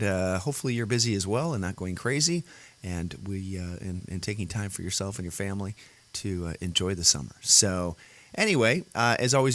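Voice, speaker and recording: Man, very deep voice, gravelly, worn and rough, rambling solo host chat, lots of fillers. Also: a bit bright. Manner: rambling, many fillers and restarts. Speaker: podcast host. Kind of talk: casual solo show chat. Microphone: computer audio setup.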